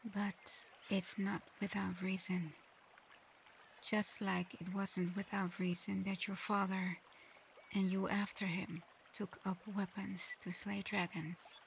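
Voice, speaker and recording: A woman playing a gentle, remorseful shapeshifting dragon in human form for an ASMR roleplay, speaking softly and haltingly, often close to a whisper. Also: mid-range voice, little pitch movement, slight background noise. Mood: gentle, regretful. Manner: soft, breathy, often near-whispered, halting.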